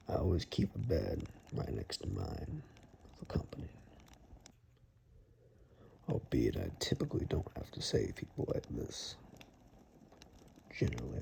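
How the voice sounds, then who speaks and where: A gruff, protective hunter of the woods , played by a man, speaks in a very low, hushed ASMR voice. His pitch wanders widely between murmur and breath as he gives deliberate, steady reassurance. A faint noise sits behind him. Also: heavily acted. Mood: quietly protective. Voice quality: low, gravelly, breathy half-whisper. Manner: slow, deliberate, matter-of-fact reassurance with pauses. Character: gruff, protective male monster-hunting woodsman rescuer. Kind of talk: ASMR roleplay, soft-spoken and half-whispered.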